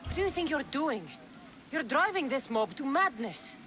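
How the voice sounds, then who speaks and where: A woman's voice: sits high, big pitch swings, normal speed, fairly bright tone, slight background noise. A woman playing a tough, blunt young Greek mercenary in a video game, with a frank, matter-of-fact delivery. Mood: angry. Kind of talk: video game cutscene acting.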